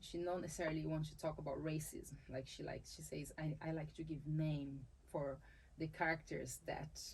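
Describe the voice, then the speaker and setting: A woman's voice: low voice, steady tone, normal speed, slightly rough. A woman from Brazil with a Brazilian accent and Portuguese as her first language, a dancer and capoeira teacher talking in an interview, thoughtful, often restarting her phrases and laughing easily. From Salvador, Bahia, Brazil. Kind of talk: conversational interview.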